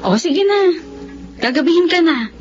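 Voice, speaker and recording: A woman playing a gentle, patient young sweetheart in a radio drama. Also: very high-pitched, expressive, normal speed. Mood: sweet. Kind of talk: Tagalog radio drama acting. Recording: dull radio audio with music.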